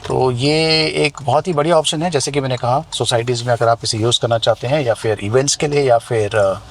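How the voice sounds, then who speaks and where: A man's voice: medium pitch, a little fast. A man with an Indian accent giving a conversational, explanatory hands-on product review outdoors. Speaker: tech reviewer and vlogger. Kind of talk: hands-on product review, mixing Hindi and English. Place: outdoors near residential buildings. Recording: noisy outdoor ambience.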